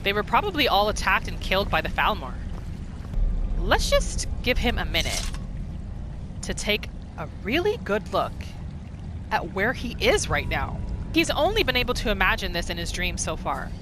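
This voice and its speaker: A woman giving casual, animated commentary as she plays a video game, often talking to the characters. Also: medium pitch, moderate pace, noisy background. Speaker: gaming video host. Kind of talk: casual game playthrough commentary with journal read-alouds. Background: game music.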